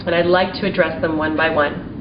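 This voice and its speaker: A woman's voice: low-pitched, steady tone, a little fast. Roomy, distant recording of an American woman testifying. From United States (Maryland), partly raised in Taiwan and China. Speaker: small business owner giving testimony. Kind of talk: prepared advocacy testimony speech. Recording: roomy, distant mic, dull sound, faint noise.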